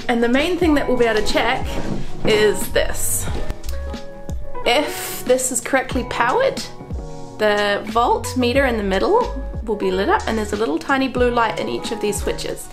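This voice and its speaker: A woman's voice: on the high side, moderate pace. A woman in her thirties, congested from the flu, chatting casually as she explains wiring in a van she is converting, filmed up close on a handheld camera. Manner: chatty, self-taught, excitable. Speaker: van-build vlogger. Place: inside a van under construction. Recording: guitar music playing underneath.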